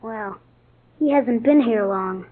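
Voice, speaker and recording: Husky, high-voiced American schoolboy. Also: female voice, takes it slowly. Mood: earnest, matter-of-fact. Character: earnest, matter-of-fact schoolboy son, kind-hearted. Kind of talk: old-time radio drama acting. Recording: tinny, band-limited old radio broadcast.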